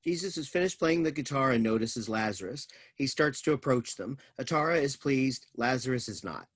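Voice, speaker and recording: A man's voice: medium pitch, animated, normal speed, no echo. Dry-sounding man reading stage directions. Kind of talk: scripted narration.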